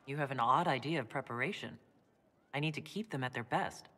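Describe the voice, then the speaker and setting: A woman playing a composed, indulgent warrior leader in a video game, speaking in a measured, earnest, gently amused way. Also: extremely low pitch.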